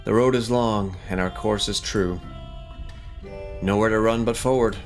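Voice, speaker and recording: Man reading as a low-voiced, world-weary road survivor. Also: steady tone, measured pace, soft background music, close to an everyday voice. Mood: wistful, resolute. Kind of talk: reading game dialogue aloud.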